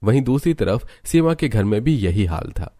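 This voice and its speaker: Man, low voice, storyteller, scripted cartoon narration. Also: very animated, moderate pace, dark tone.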